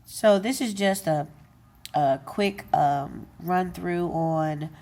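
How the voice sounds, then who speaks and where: Slow, low-voiced woman demoing a gadget. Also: darkish tone. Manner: unscripted, with many fillers and self-corrections. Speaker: tech video presenter. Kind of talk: casual how-to demonstration.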